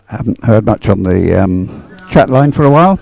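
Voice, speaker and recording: A man giving live, off-the-cuff race commentary in a halting, rambling way, hesitating as he searches for words. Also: on the low side, expressive, gravelly, darkish tone, no echo.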